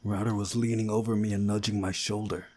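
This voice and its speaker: A man reading a visual novel aloud as narrator, in a measured storytelling way with a husky voice. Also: fairly low pitch, little pitch movement, mellow. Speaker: gaming video narrator.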